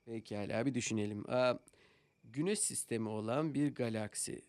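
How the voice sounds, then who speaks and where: A man playing a patient, easygoing father in a Turkish cartoon dub, explaining things gently and thoughtfully. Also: mid-range voice, moderate pace, no echo, lightly acted. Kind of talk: Turkish animated series dub. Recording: music behind.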